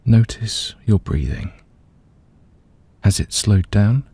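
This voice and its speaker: Deep, husky man guiding a meditation. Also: slow. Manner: gentle, calm, soothing, hushed at phrase ends. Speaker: meditation guide. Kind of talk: guided meditation, scripted narration.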